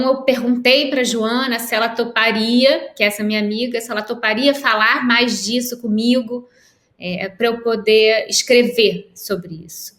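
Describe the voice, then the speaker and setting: A Brazilian woman author, a guest on a remote interview, answering in a thoughtful, measured way with pauses and restarts. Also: fairly high pitch, a bit bright. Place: at home in Lisbon, by a window. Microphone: video call. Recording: echoey room on a video call.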